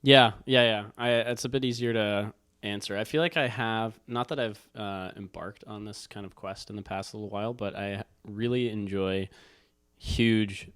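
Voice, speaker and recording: A man's voice: lowish voice, steady tone, moderate pace, fairly bright tone, clear, resonant tone, no room sound, clean studio sound. A man co-hosting a casual, unscripted book chat, rambling as he thinks aloud. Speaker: book talk co-host.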